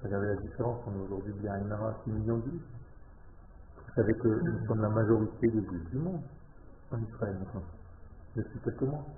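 A man from Israel, speaking French as a learned language and giving a passionate religious lesson to a small group, shifting from quiet talk to loud exclamations. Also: medium pitch, a little hiss or hum. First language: Hebrew. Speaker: religious teacher. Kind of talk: Torah lesson to a live group. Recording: tinny phone-like echoey room.

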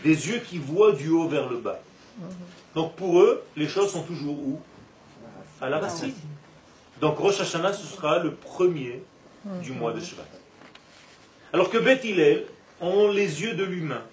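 High-voiced man teaching, echoey and distant. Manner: animated, rhetorical questions, drawn-out emphasis. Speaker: religious teacher giving a Torah class. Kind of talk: lively spoken lesson to a live group. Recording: roomy echo, distant mic, faint noise.

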